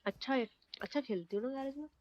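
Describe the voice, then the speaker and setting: A woman's voice: sits high, racing, lo-fi sound, slight background noise. A woman chatting casually while live-streaming a game. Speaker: gaming streamer. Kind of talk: casual live gaming chat.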